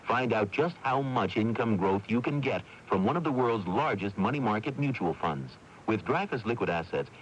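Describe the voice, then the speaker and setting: Animated, low-pitched male radio pitchman. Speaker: commercial announcer. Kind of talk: scripted 1980s radio advertisement. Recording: muffled, faintly hissy 1982 radio tape.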